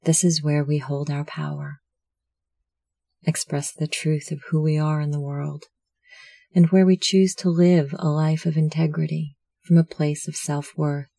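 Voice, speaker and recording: A meditation instructor narrating a guided meditation in a measured, soothing, instructional manner. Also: medium pitch, level tone, vocal fry, dark tone, no echo.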